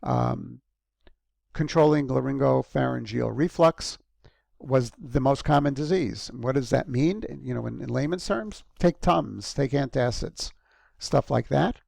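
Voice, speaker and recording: A male lecturer speaks to his students in a loose, conversational way, pausing on ums and correcting himself as he goes. His voice has a strong gravelly fry. The recording is close and completely dry. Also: medium pitch, darkish tone, no echo. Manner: conversational, frequent ums and restarts. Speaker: university lecturer.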